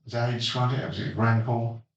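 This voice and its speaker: A man's voice: mid-range voice, monotone, racing, muffled recording. A man hosting a casual podcast chat, recapping a game session with hesitant ums and dry jokes. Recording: roomy echo, distant mic.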